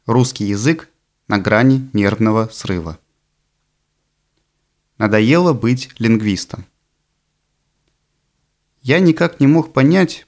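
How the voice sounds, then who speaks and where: A man narrating a nonfiction book from a script, phrasing it in a measured, deliberate way. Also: medium pitch, a little mellow. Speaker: audiobook narrator.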